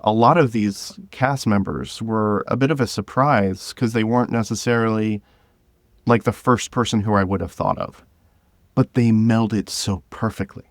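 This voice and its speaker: Low-voiced man chatting as podcast guest. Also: fairly even intonation, normal speed, dry recording, studio quality. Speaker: podcast guest, drama creator. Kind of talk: casual interview chat.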